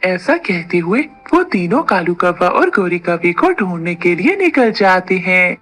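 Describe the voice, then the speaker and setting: A woman reading scripted narration for a children's animated bird story. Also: lowish voice, a little slow, faint music behind.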